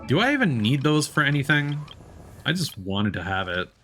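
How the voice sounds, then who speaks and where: Lively man chatting on a game livestream. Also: mid-range voice, moderate pace, faint music behind. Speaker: livestreamer playing a video game. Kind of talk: casual livestream chat over gameplay.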